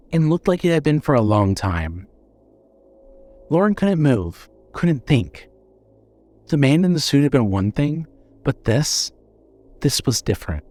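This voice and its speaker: Male horror audiobook narrator, dramatic and suspenseful, with a widely moving, expressive pitch. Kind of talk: scripted horror fiction narration.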